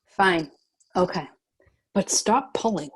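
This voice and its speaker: A woman playing a guarded but caring leader in a screenplay table read, measured and matter-of-fact. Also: fairly low pitch, slightly rough. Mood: impatient, guarded.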